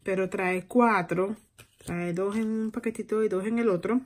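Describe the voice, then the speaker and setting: A woman's voice: mid-range voice, fairly even intonation, fairly quick. A woman in her thirties chatting casually to camera in a personal vlog. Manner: switches between English and Spanish. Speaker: vlogger. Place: parked or moving car, small home rooms.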